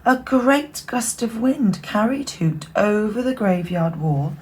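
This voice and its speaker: A woman reading a children's picture book aloud expressively, dropping into hushed asides. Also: medium pitch, slow. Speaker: bedtime story reader.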